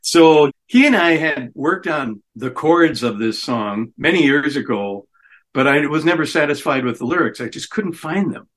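A man's voice: a little high, expressive, normal speed, fairly bright tone. A middle-aged man, a singer and guitarist, chatting easily as a guest in a radio interview and laughing readily. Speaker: musician guest. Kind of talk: casual radio interview chat.

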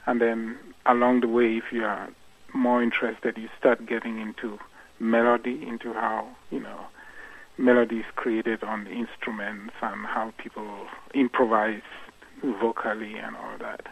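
A man's voice: on the low side, flat delivery, unhurried, vocal fry, husky. A man from Cameroon, a guest musician in a radio interview, speaking thoughtfully with many fillers and restarts. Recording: tinny phone line.

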